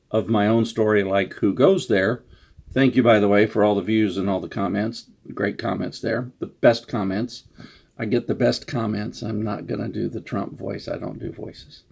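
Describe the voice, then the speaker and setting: Gravelly, low-voiced man chatting casually. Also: steady tone, normal speed. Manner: rambling, self-deprecating. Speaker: author introducing his story. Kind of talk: casual unscripted introduction.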